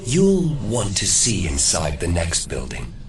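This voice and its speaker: An actor playing a mysterious disembodied mastermind in an audio drama, measured and coaxing with a smug, softly menacing calm. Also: male voice, low-pitched, slight background noise, heavily acted. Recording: distant voice under loud music.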